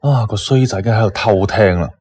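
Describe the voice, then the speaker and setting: Man acting low-voiced casual young photographer. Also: a little fast, darkish tone, close to an everyday voice. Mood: teasing, friendly. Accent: Hong Kong Cantonese. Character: casual, teasing young male magazine photographer. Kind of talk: Cantonese online radio drama acting.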